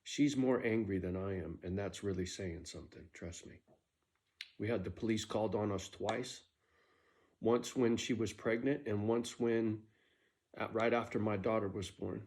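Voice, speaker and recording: A man's voice: on the low side, fairly even intonation, moderate pace, darkish tone. A middle-aged man casually telling a story from his own life, blunt and profane, often tagging "right?" onto his sentences.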